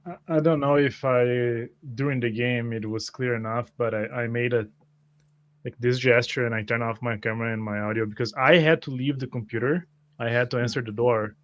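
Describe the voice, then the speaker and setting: A man's voice: mid-range voice, fairly even intonation, a little fast, dull audio. A man who plays tabletop games, explaining himself in casual conversation with his group. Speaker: tabletop roleplay player.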